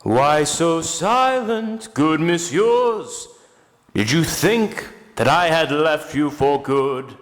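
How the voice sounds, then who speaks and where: Slow, menacing opera phantom, acted by a man. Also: a little high, lively intonation. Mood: taunting. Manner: slow, theatrical, deliberate delivery. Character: brooding, menacing masked male opera ghost, commanding and bitter. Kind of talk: amateur audio drama acting.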